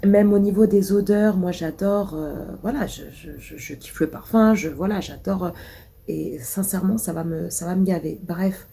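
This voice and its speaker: A woman's voice: on the low side, normal speed, vocal fry, warm tone. A woman vlogging casually while sick, with a blocked nose and a cough, rambling through many hesitations. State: congested, stuffy nose. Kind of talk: casual vlog chat.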